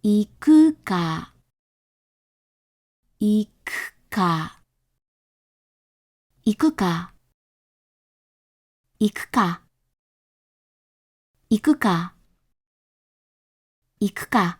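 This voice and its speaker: Woman slowly modeling a phrase. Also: mid-range voice, expressive, dry recording, clean studio sound. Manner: repeats one short phrase very slowly as a model. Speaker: pronunciation demonstrator.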